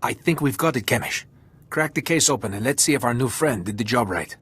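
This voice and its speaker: A man's voice: mid-range voice, animated, brisk, a bit bright, husky. A man playing a level-headed rebel lieutenant in a video game. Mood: matter-of-fact.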